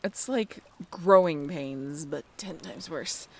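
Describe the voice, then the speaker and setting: A young woman playing a friendly half-mermaid in an intimate audio roleplay, with a creaky voice and a casual, halting, wry and bashful way of talking. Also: sits low, a little hiss or hum. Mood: wry, amused. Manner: casual, halting, softly self-deprecating. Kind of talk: intimate audio roleplay, one-sided conversation.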